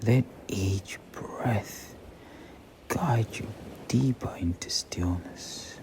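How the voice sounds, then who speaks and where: A man guiding a meditation in a soothing, breathy near-whisper with long pauses. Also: lowish voice. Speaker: meditation guide. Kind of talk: slow guided meditation. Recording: clearly audible background ambient noise.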